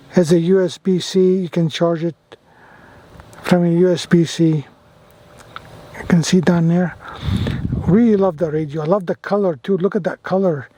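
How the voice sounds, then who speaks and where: A man with a high-pitched voice chats casually to his viewers as a radio hobbyist. He is enthusiastic about his gear and tends to repeat his phrases as he demonstrates it. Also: dark tone. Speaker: amateur radio vlogger. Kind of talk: casual product demo and radio operating.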